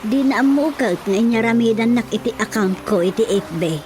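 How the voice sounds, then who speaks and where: A woman's voice: on the high side, moderate pace, a little mellow, natural voice. An actress with a Filipino Ilocano accent playing a practical, cautious mother in a radio drama. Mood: firm, advising. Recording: music behind the voice.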